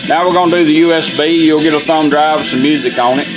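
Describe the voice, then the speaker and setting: A man's voice: a little high, fairly even intonation, quick pace, over music, poor audio. A man giving a casual, plainspoken hands-on product demonstration in a folksy way, taking it step by step. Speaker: presenter demonstrating a vintage truck radio. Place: inside a pickup truck cab.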